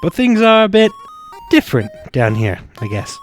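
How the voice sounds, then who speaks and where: An actor voices a tiny talking flower from an animated fan drama. The pitch leaps around in a grating, sugary, folksy chirp. The friendliness is fake, with menace underneath, and music plays behind it. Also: normal speed, natural voice. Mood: fake cheerful. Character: small talking flower, falsely cheerful, sinister underneath. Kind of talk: animated fan fiction character acting.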